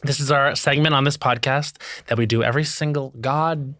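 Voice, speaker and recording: A man co-hosting a comedy podcast, riffing playfully in casual chat and working himself up into excited bits. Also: mid-range voice, brisk, no echo, clean studio sound. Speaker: podcast co-host.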